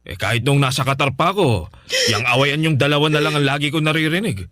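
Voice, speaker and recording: A man's voice: fairly high pitch, fast. An actor playing a sick but good-humored father who teases his daughter, in a radio drama. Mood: teasing. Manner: easygoing, teasing, calm. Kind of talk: Filipino radio drama acting.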